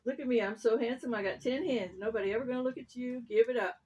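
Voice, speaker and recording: A cocky storybook rooster, played by a woman, high in pitch and full of sass. The bird boasts and taunts in folksy slang, brash and mocking toward everyone. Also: fast talker, dull audio, noisy background, natural voice. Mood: boastful taunting.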